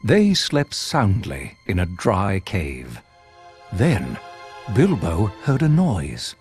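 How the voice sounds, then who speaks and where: A man narrating a fantasy video game like a storybook, in a measured, dramatic and ominous way. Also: low voice, huge pitch swings, a little mellow, soft background music, exaggerated voice. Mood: suspenseful.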